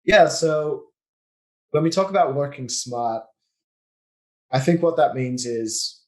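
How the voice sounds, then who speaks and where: A man in his thirties with an Australian accent, a guest on a business podcast, explaining in long, relaxed sentences full of fillers. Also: medium pitch, slow. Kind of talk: casual podcast interview.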